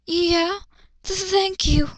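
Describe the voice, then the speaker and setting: A woman's voice: extremely high pitch, fairly even intonation, very slow, no room sound, voice pushed up, exaggerated voice. A woman voicing an earnest, distressed young anime heroine in a pleading, melodramatic manner for a fan fiction reading. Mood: timid, pleading.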